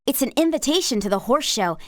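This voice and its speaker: A woman with an American accent playing a cheerful, helpful young horse rider and amateur sleuth in a game, upbeat and crisply spoken. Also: sits high, animated, fast talker, no echo, clean studio sound. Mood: bright, determined. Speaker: voice actor. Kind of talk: scripted game character dialogue.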